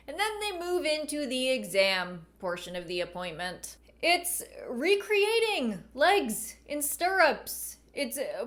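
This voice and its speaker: A woman talks through a casual video essay in a slightly high-pitched voice. She sounds bemused and wry as she lays out her commentary. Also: a bit bright. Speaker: video creator and host. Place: at home, indoors.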